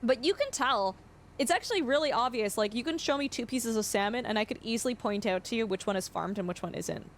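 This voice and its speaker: A young woman streaming a farming game, chatting casually and explaining facts with lots of fillers, her voice slightly hoarse. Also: on the high side, lively intonation, fast talker, a little hiss or hum. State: voice going hoarse. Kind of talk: casual live-stream chat.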